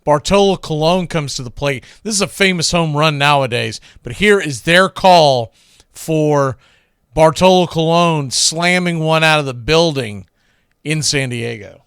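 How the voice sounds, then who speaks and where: A man with a smooth, clear, slightly high voice hosts a casual radio show. He introduces sports clips in a dry, close-miked sound. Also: a little slow, a bit bright, no echo.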